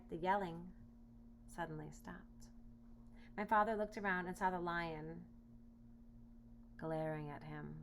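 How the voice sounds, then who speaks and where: A woman reading a children's storybook aloud in expressive narration. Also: on the low side. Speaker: storybook reader. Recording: noisy home recording with hum.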